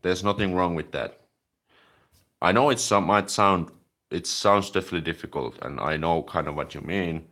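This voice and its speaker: A man with a deep, low voice hosts a relaxed live stream about language learning. He reads and answers viewer comments in a chatty, easygoing way, dropping "like" as filler between thoughts. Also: normal speed. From Finland.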